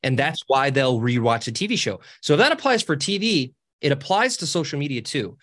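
A podcast guest, a man with a high voice and a cackling laugh. He talks casually and cheekily, his pitch swooping up and down as he rambles and restarts his sentences. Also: big pitch swings, a little fast, a bit bright. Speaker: podcast guest, social media co-founder. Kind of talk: casual interview talk.

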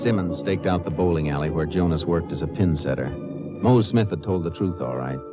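A steady Texas Ranger with a fairly low voice and a terse, authoritative manner. It is 1950s radio western acting, heard on a dull, dated recording. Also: male voice, normal speed, loud music behind the voice. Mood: grave narration. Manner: terse, matter-of-fact, authoritative. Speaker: lead actor and narrator in a radio drama.

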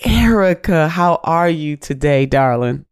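A woman's voice: sits low, lively intonation, a little slow, smooth, dry recording. Woman podcast host, nasal from a cold, emphatic and preacherly, dry sound. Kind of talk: casual podcast interview.

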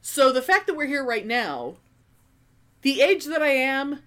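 High-voiced woman in her 50s, swears a lot, bouncy lively delivery, casual podcast chat. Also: unhurried. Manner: profane, animated storyteller. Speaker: podcast co-host.

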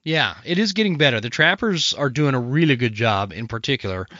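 A man hosting a podcast, hoarse from a cold, talking heatedly and swearing in casual chat. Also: medium pitch, lively intonation, brisk, bright, forceful tone, dry recording. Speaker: podcast host. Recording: dry, muffled podcast audio.

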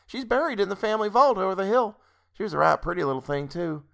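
Man putting on a high-voiced homely village wife. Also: expressive, quick pace, gravelly, fairly bright tone, full character voice. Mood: matter-of-fact. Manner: plain rustic speech. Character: innkeeper's wife, homely village woman, matter-of-fact. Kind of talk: literary audiobook character dialogue.

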